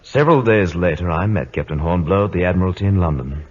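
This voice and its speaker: An actor playing a grave naval officer who narrates an old-time radio drama in a measured, dramatic way. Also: male voice, low-pitched, lively intonation, slightly rough. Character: grave naval officer recounting his captain's story. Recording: dull vintage radio recording, loud orchestral music.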